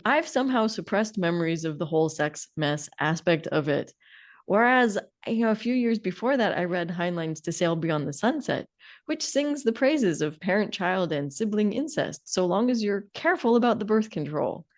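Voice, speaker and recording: A woman's voice: medium pitch, expressive, normal speed. A woman co-hosting a podcast, talking about books in a candid, informal, wry way. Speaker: podcast co-host. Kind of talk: casual book discussion.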